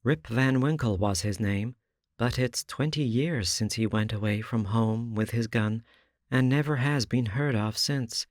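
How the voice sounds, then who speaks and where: A man voicing a young mother who speaks gently and sadly. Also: mid-range voice, measured pace, no room sound, studio quality, pitched above the performer's own voice, lightly acted. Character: young mother, fresh and comely.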